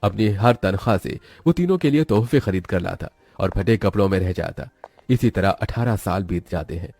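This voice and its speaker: Mellow, lively male story narrator. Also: low-pitched, moderate pace, vocal fry, slightly rough, no echo. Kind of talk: dramatic scripted storytelling.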